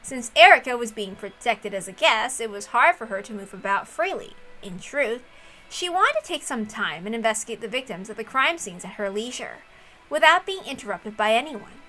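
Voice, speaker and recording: A woman reading a story aloud as narrator, in an expressive storytelling style. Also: on the high side, normal speed, low-quality recording. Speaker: reader of a visual novel.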